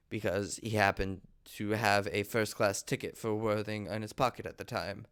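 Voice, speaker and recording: Polite young gentleman suitor read by a man, deep clear voice, nervous and deferential, stage comedy read-through. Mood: awkward, confessing. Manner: deferential, hesitant, formal. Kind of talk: amateur table reading of a stage comedy.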